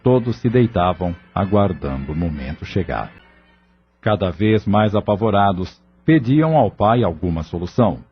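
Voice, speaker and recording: A man's voice: sits low, expressive, normal speed, lo-fi sound. A man with a Brazilian accent narrating a scripted audio novel as its storyteller. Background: music.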